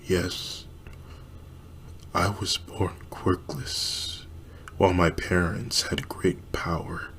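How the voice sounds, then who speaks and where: A man voicing a frail, dying middle-aged woman who speaks in a weak, slow, whispery voice. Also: deep voice, level tone, a bit bright, a little hiss or hum, heavily acted. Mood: weak, resigned.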